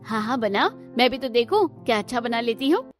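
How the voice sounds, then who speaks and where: A woman plays a meddling cartoon auntie from next door. She is pushy and blunt, speaking fairly high over faint music. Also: animated, brisk, natural voice. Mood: critical. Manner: pushy, outspoken.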